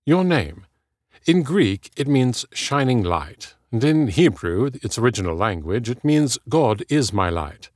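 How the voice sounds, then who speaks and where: A man voicing a boisterous, elegant British gentleman in an audiobook, with a smooth, theatrical, effusive delivery. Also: mid-range voice, big pitch swings, unhurried, dry recording, studio quality, voice pushed up. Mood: smitten, savoring.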